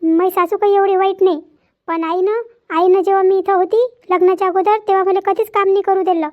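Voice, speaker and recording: Woman doing young married daughter in a cartoon drama, super high childlike voice, vidarbha marathi accent, halting and pleading, kinda muffled audio. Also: steady tone. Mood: remorseful. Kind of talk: amateur cartoon family drama.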